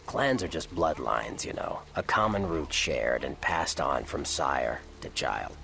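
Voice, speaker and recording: An actor voicing a cynical, sly Nosferatu vampire informant in a video game, with a gravelly American voice and a dry, sardonic manner. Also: male voice, rumbling low, expressive, unhurried, sharp tone, a little hiss or hum, full character voice. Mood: matter-of-fact. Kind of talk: video game character dialogue.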